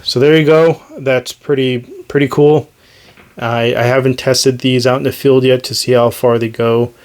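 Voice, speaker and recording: A man's voice: mid-range voice, flat delivery, normal speed, darkish tone. A man giving a casual, hands-on gadget demonstration as host, talking in a hesitant, unscripted, self-deprecating way. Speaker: host demonstrating radio gear.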